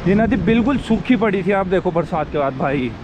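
Casting note: chatty young guy, high-ish voice, riding and narrating to camera, heavy wind and traffic noise. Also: a little fast. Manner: chatty, excited, addresses viewers as brothers. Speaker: cycling vlogger. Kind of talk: casual vlog narration while riding. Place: outdoors on a bicycle on roads and riverside. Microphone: action camera held at arm's length.

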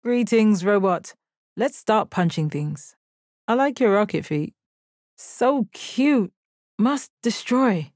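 A woman playing a stoic, confident, commanding gem warrior in a video game, with a measured, deadpan delivery. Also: medium pitch, no echo, clean studio sound, lightly acted. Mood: cool, ready. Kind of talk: video game character battle lines.

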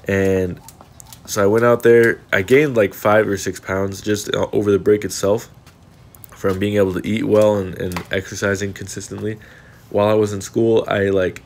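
A young man with a slightly low voice talks through a casual vlog voice-over. He sounds congested and sniffly. His delivery is earnest and reflective, and it wanders from thought to thought. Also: slight background noise. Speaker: fitness vlogger.

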